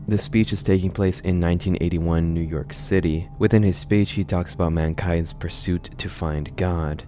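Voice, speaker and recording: A man's voice: deep voice, dark tone. A man hosting a video essay on a horror series, explaining it in a conversational way. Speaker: video host, narrator.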